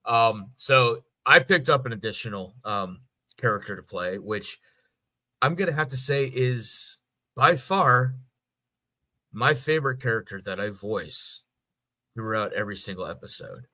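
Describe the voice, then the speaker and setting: A middle-aged man talking casually as a voice actor guest on a podcast, telling stories in an animated way. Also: medium pitch, measured pace, no echo.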